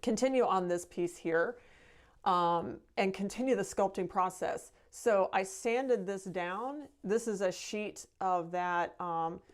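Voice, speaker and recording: A woman's voice: medium pitch, takes it slowly. A middle-aged woman painter hosting a casual live stream, talking in a chatty, rambling way. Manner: chatty, rambling, with laughs and fillers. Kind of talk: casual painting demo chat.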